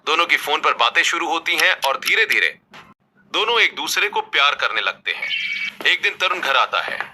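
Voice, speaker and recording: Bright, high-voiced man narrating a story. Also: sing-song, normal speed, slight background noise. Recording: faint background music.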